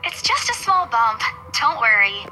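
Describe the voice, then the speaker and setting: A woman's voice: on the high side, big pitch swings, unhurried, pushed and bright, heavily acted, low-quality recording. A woman voicing a young saleswoman in a visual novel game who brushes off her own injury in a breezy, reassuring way. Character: young female saleswoman shrugging off a fall. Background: background game music.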